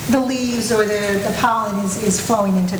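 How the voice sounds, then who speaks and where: A woman's voice: mid-range voice, fairly even intonation. A woman chairing a community board meeting speaks politely and carefully, weighing what the board can allow. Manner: polite, measured, careful about precedent. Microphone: far room microphone. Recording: distant echoey room with loud background noise.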